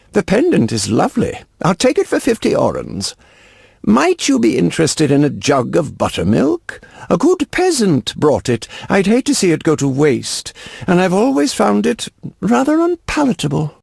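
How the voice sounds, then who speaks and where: Fussy rich game collector, very high voice, heavy creak, florid and swooping, genteel. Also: male voice, huge pitch swings, unhurried, darkish tone, dry recording, clean studio sound, full character voice. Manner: pompous, florid, genteel, pedantic. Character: fussy, pompous, wealthy male antiquities collector. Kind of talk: video game voice acting.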